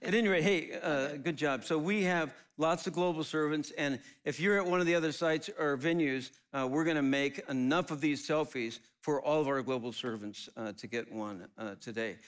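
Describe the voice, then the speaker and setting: A man's voice: fairly high pitch, moderate pace, hardly any pauses. A man hosting a live church service, upbeat and rallying the crowd. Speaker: church host.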